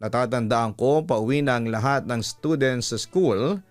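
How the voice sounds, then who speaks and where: A man's voice: mid-range voice, measured pace, no room sound. Filipino man narrating stories over music. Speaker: host reading a listener's letter. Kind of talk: dramatic radio storytelling narration. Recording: music playing behind the voice. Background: background music.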